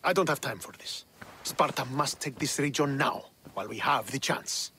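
An actor playing a bitter, proud young Spartan commander in a video game, speaking in a scornful, commanding, clipped way. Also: male voice, mid-range voice, moderate pace, pushed and bright, a little hiss or hum, close to an everyday voice. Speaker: military commander. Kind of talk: dramatic video game cutscene acting.

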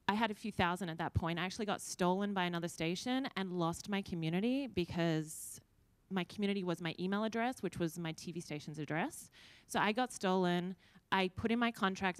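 Brief: Australian woman in her thirties, panelist, chatty storyteller, smooth and clear, dry close sound. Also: lowish voice, no room sound. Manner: chatty and anecdotal. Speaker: panelist, former TV news anchor. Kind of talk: conference panel answer. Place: conference room with audience, indoors. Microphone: handheld mic.

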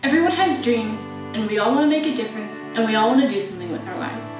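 A woman's voice: a little high, fast, music in the background. A young woman giving a motivational, emphatic graduation speech to her class. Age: young adult. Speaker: graduating student speaker. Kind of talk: prepared commencement speech. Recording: very echoey room.

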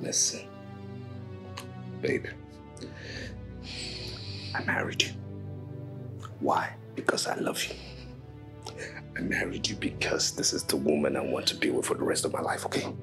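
An actor with a Nigerian accent playing an impassioned young son and husband who insistently repeats himself in a screen drama. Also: male voice, very deep voice, slow, long pauses, over music, heavily acted. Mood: pleading. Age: young adult. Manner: insistent, repeats phrases for emphasis.

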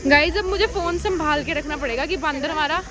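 A woman's voice: high voice, fairly quick. A young woman vlogging casually to her viewers on a busy outdoor street, chatty and teasing, filmed on a phone held at arm's length. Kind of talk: casual travel vlog. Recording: crowd and street noise.

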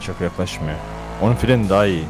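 A man's voice: sits low, quick pace. A man chatting casually in a video game roleplay, insistent and repeating himself. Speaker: gamer playing the getaway driver. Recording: clear game car and street noise.

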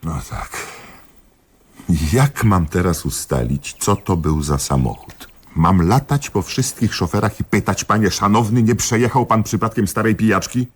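A man's voice: fairly low pitch, expressive, a little slow, husky. A man acting in a radio drama as a stern, bossy, lecturing police commissioner who is exasperated and pedantic. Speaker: actor in radio play.

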